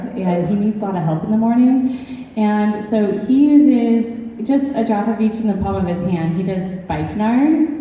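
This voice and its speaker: A woman's voice: mid-range voice, narrow, tinny audio. A woman from California on a panel, talking casually to an audience with a wry, playful touch. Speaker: panel speaker. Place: large echoing event hall. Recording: very echoey hall, distant mic, faint noise.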